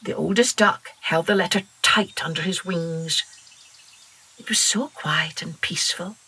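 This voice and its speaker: Slow, expressive woman storyteller. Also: on the low side, husky. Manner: gentle, expressive and unhurried. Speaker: storyteller host. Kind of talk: children's story narration.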